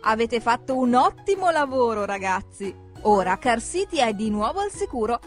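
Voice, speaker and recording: High-pitched woman narrating a children's cartoon. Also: moderate pace, soft background music. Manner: expressive, addresses characters directly.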